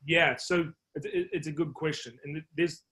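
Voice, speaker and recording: A man in his thirties with a New Zealand accent, a marketing executive answering interview questions in a measured, explanatory way, with frequent fillers and restarts. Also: fairly high pitch, fairly even intonation, bright, forceful tone. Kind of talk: business podcast interview.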